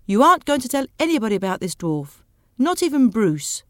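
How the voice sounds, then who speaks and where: A woman voicing a bossy, impatient wife in a story, with a scolding, emphatic, sharp-tongued manner. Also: on the high side, very animated, a little fast, dry recording, clean studio sound. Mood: scornful, impatient. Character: bossy, impatient, scornful wife of a timid giant.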